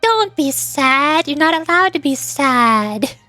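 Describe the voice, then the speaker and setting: A woman's voice: sits high, expressive, takes it slowly, pushed and bright, soft background music, exaggerated voice. A woman voicing a tiny, childlike game companion, bubbly and easily flustered. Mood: comforting, earnest. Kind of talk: video game character voice acting.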